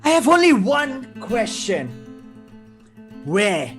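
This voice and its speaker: Manic criminal clown. Bright, sharp, swooping voice; slow, menacing taunts over guitar music. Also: male voice, very high-pitched, very animated, exaggerated voice. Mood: sinister, taunting. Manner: drawn-out taunting delivery with cackles.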